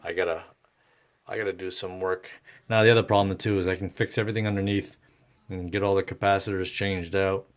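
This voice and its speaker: A man with a low, deep voice gives unscripted repair commentary. His intonation stays very flat. He speaks haltingly, working through his thoughts aloud in an informal, salty way. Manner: halting, thinking aloud, mildly profane. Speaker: host of a radio repair video.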